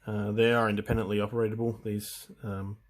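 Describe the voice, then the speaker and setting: A man giving a casual, unscripted walkthrough of equipment, speaking in a hesitant, halting way as he thinks aloud. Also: fairly low pitch, level tone. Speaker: hobbyist presenter demonstrating vintage audio gear.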